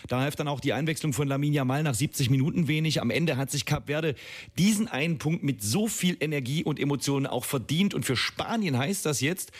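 A man's voice: a little high, animated, fairly quick. A man giving a football match report and analysis as a sports reporter.

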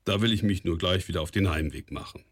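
A man's voice: sits low, quick pace, dry recording, studio quality. A man voicing an honest but crafty young farmhand who talks plainly and plays dumb. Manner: plain-spoken, feigning simplicity. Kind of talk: audiobook character voice.

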